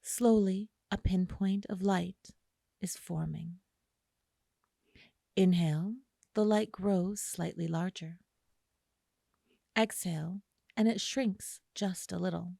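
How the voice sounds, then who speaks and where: Woman, meditation guide, soft dark tone, creaky edges, soothing unhurried delivery, close dry sound. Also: fairly low pitch, steady tone, no room sound. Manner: slow, soothing delivery with long pauses. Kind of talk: guided imagery meditation narration.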